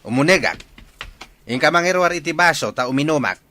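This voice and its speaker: An actor playing a rude, pushy intruder in an Ilocano radio drama, brusque and arrogant. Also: male voice, a little high, lively intonation, brisk, bright, forceful tone. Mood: rude, irritated. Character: rude, pushy male intruder demanding a drink.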